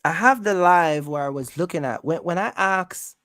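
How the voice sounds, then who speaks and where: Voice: medium pitch, moderate pace, fairly bright tone, no echo, studio quality. A content creator delivering a casual, confrontational rant, repeating points and throwing out rhetorical questions. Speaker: YouTube content creator, trucking vlogger. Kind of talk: casual rant to camera, calling out rivals.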